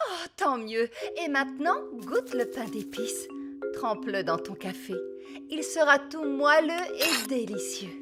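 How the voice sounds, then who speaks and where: A woman's voice: high voice, lively intonation, a little slow. A woman playing a fussy, theatrical fairy queen, an eager and touchy baker, in a French cartoon dub. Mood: bustling, eager. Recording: loud music under the voice.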